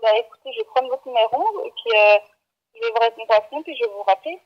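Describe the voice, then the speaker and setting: High-voiced, flustered woman on a muffled phone. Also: animated, quick pace. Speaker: bakery employee. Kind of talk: unscripted customer phone call. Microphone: telephone. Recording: dull, band-limited phone line.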